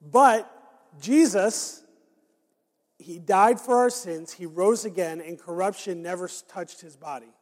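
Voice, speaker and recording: A man preaching a sermon as pastor, his voice raspy and hoarse from a raw throat, talking conversationally with frequent fillers. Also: sits high, unhurried, a little mellow. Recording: long hall reverb.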